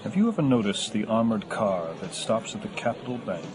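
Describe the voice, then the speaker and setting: A man's voice: on the low side, animated, fairly quick, lightly acted. A man playing a wry, pedantic, bookish convict in an audio horror drama, speaking in formal phrases. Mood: smooth scheming. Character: erudite, wry, quotation-loving convict called the Professor. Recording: music behind, vehicle and outdoor sound effects.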